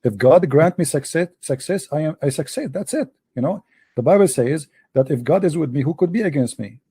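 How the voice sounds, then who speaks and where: A man's voice: fairly high pitch, expressive, a little fast, dark tone, husky, no echo. A man hosting a religious debate, pressing his points insistently and repeating himself in nonstandard English. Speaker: debate host.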